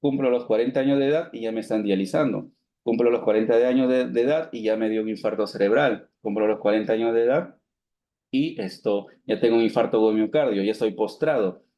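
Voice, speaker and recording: A man in his thirties with a low, mellow voice gives a medical lecture to students. He explains step by step, poses rhetorical questions and answers them himself, and pads his sentences with fillers and restarts. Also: fast. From Peru. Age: 30s. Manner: many fillers like digamos and esto, frequent restarts. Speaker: physician lecturer.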